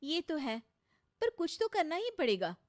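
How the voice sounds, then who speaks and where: A woman's voice: high voice, very animated, measured pace, pitched above the performer's own voice. A woman voicing a clever young daughter-in-law in a cartoon moral story, assertive, righteous and slyly pointed.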